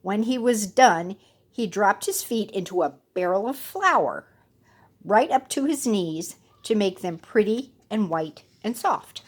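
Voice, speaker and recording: A woman's voice: mid-range voice, animated, measured pace, husky. A woman telling a children's fairy tale expressively, with dramatic and playful emphasis. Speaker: storyteller.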